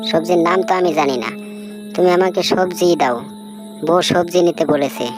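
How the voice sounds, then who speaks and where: A woman's voice: lowish voice, moderate pace, music in the background, lightly acted. A woman voicing a naive, literal-minded male fox husband in a comic cartoon. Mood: insistent, clueless.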